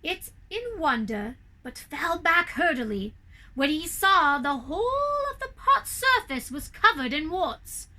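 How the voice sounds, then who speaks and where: Female children's storyteller, theatrical storybook reading: high, bright voice, unhurried and deliberate. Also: very animated, rough recording. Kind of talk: expressive storybook narration for children.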